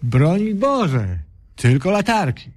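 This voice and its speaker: Elderly watchman, flustered, lamenting, rustic speech, very swoopy intonation, slow, radio sketch. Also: sits high, big pitch swings, darkish tone, exaggerated voice. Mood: plaintive. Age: older. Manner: rustic, ungrammatical, lamenting exclamations. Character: flustered elderly male watchman, plaintive and muddled. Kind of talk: radio comedy sketch acting.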